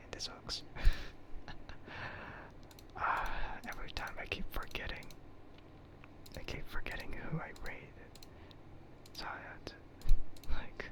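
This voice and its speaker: ASMR livestream host: breathy whisper, unhurried, hesitant and self-deprecating, casual rambling chat.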